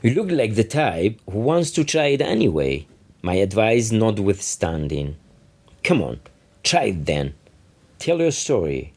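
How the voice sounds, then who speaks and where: A man's voice: fairly low pitch, sing-song, a little slow, a little mellow, heavily acted. A man reading aloud the part of an old, officious border guard who lectures dismissively in a literary story. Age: older.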